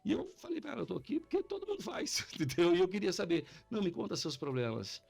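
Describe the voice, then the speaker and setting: A Brazilian man chats casually on a podcast, telling anecdotes in slangy, informal speech. His voice is fairly high and very lively in pitch, and he moves quickly as he acts out both sides of a conversation. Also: gravelly, slightly rough, faint music behind. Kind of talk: casual animated storytelling chat.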